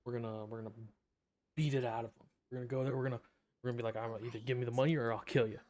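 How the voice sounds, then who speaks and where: A man's voice: mid-range voice, little pitch movement, very fast, a little mellow. A young man giving casual, joking and offhand commentary while streaming a video game.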